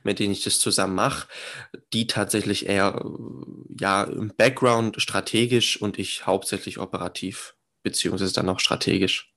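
A man's voice: lowish voice, steady tone, normal speed, gravelly, sharp tone. Young man in his twenties, businesslike. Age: 20s. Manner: earnest, businesslike, laughs at his own remarks. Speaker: young real estate business owner, guest. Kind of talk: interview answers.

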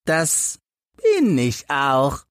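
A man's voice: on the high side, wildly swooping pitch, extremely slow, clean tone, no echo, studio quality, exaggerated voice. A man reading an audiobook as a grim, embittered, crippled old man with a dreadful, dramatic tone. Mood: bitter, grim. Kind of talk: audiobook character acting.

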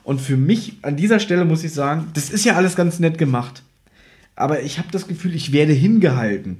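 A man's voice: fairly high pitch, animated, a little fast, darkish tone. A man chatting casually as a podcast host, retelling and critiquing an audio drama in an informal, opinionated, teasing way.

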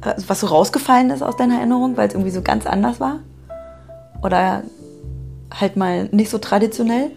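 A woman with a creaky, fairly low voice chats informally as an interviewer and conversation partner. Music plays faintly behind her as she talks. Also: brisk, a little mellow. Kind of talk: casual conversational storytelling.

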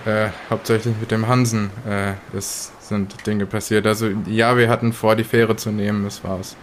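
Male role, man acting: reticent ferry worker, low and monotone, hesitant evasive answers, audio drama with slight ambient noise. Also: moderate pace, natural voice. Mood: evasive, subdued. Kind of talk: improvised in-character audio drama retelling. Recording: faint vehicle-like background noise.

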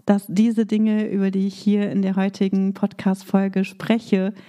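A woman hosting her own business podcast, upbeat and coaching, still a little hoarse from a cold. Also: medium pitch, completely flat delivery, a little slow, warm tone. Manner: upbeat coaching tone, with fillers in free talk. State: recovering from a cold, voice slightly rough. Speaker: podcast host. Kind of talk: scripted intro then casual monologue.

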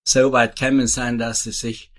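Older South Tyrolean farmer, halting. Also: male voice, mid-range voice, little pitch movement. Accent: South Tyrolean German. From South Tyrol, Italy. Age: 70s. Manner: rambling, halting, with many restarts and fillers. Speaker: retired farmer, guest. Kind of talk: casual interview answers.

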